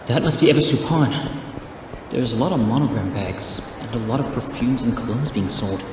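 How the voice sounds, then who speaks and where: Bir adamın oynadığı çok yankılı, samimi genç öğrenci. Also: male voice, mid-range voice, normal speed, natural voice. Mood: musing. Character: earnest young male martial arts student. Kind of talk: amateur audio drama acting. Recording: echoey hall, distant mic, noisy background.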